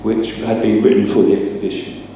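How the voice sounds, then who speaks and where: A man presents informally in a chatty, slightly hesitant way. His voice is dark and relaxed. It comes from some distance in a strongly echoing room, with a little background noise. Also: on the low side, a little fast. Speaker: presenter, sound effects maker. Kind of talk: informal public talk with demonstration. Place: live talk in a reverberant indoor venue. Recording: echoey room, distant mic, faint noise.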